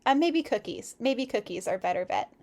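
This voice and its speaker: A woman with a fairly high voice hosts a casual livestream, reading fiction aloud and chatting about it. Her delivery is expressive, giggly and effusive. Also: normal speed, vocal fry.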